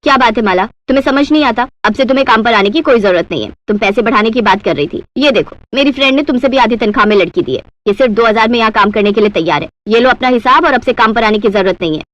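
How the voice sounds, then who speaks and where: A woman's voice: on the high side, animated, fast talker, hardly any pauses, lightly acted, poor audio. A woman voicing a bossy, stingy rich housewife with a curt manner in a Hindi cartoon story. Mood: scolding, dismissive.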